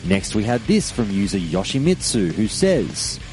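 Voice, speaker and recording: A man hosting a podcast and giving his opinion in a measured, deliberate monologue with firm emphasis. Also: lowish voice, big pitch swings, darkish tone, faint music behind. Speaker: podcast host.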